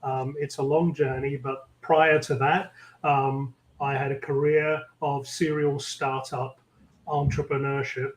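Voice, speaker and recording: A middle-aged man with a London English accent, talking through his career in an interview, measured and full of ums. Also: mid-range voice, level tone, roomy sound, rough recording. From England. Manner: measured, many ums and uhs. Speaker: guest, tech executive. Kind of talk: interview conversation.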